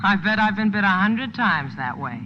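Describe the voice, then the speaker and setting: A woman's voice: medium pitch, lively intonation, normal speed, lightly acted. A worldly, teasing young woman played by an actress in vintage radio drama. She speaks with dry, laconic cool. The old broadcast is muffled and noisy. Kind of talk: 1940s radio drama acting.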